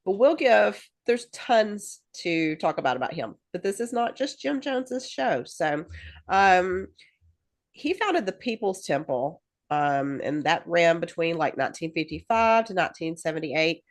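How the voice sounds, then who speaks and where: A woman's voice: fairly low pitch, big pitch swings, unhurried. A woman co-hosting a podcast in casual chat, rambling with lots of fillers and restarts and laughing easily. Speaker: podcast co-host.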